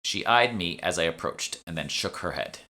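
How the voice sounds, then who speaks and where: A man narrates a fantasy audiobook in a very low, gravelly voice. His delivery is animated, following the scripted prose with expressive swings. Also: moderate pace. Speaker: author reading own audiobook.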